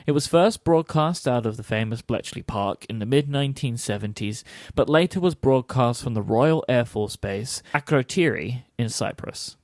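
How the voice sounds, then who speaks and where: A man's voice: medium pitch, animated, moderate pace, few pauses, a little mellow, no room sound, clean studio sound. A man co-hosting a podcast, switching between scripted explanation and teasing banter. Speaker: podcast co-host.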